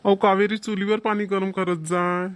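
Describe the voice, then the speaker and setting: A man's voice: high voice, little pitch movement, normal speed, clear, resonant tone, dry recording, lightly acted. A man playing a broke village father, scolding yet coaxing, in a cartoon comedy with a Vidarbha Marathi accent.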